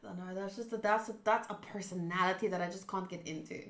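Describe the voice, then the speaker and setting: A woman streaming a visual novel, mixing expressive, sweary commentary with reading the text aloud. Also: on the low side, quick pace. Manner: expressive, sweary, reacts out loud. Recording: roomy echo.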